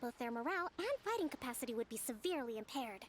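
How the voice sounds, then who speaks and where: A woman voicing a composed young priestess and strategist in a video game, polite and measured. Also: fairly high pitch, animated, slight background noise, lightly acted. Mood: calm. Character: young female priestess and calm grand strategist. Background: faint game music.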